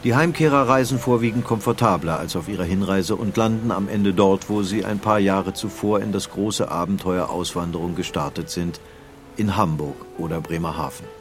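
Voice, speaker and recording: Deep voiced guy doing documentary narration, bit of music behind him. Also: normal speed.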